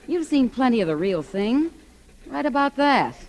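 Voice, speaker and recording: A woman's voice: high voice, very animated, normal speed, a little mellow. An actress playing a sassy, blunt newspaper reporter in a 1940s radio drama, teasing and frank with her sweetheart. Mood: blunt, urging. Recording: noisy vintage 1940s radio recording.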